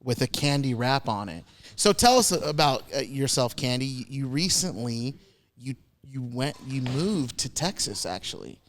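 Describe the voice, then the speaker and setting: A man's voice: mid-range voice, sing-song, moderate pace. A man hosting a podcast, asking questions in a chatty, agreeable way. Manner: chatty, agreeable, many "right"s. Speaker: podcast host. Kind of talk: casual podcast interview.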